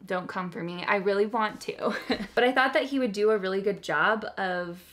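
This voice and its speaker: A woman's voice: medium pitch, moderate pace, fairly bright tone. Enthusiastic, giggly woman chatting about books. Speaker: host of a book haul video. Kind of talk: casual chat to viewers.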